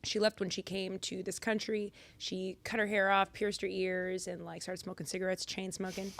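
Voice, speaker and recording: Animated woman telling her story on a podcast. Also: medium pitch, moderate pace, creaky. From Massachusetts, United States. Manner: animated storyteller, swings loud for emphasis. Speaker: podcast guest. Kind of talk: casual podcast chat. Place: podcast studio with purple lighting. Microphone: studio boom mic.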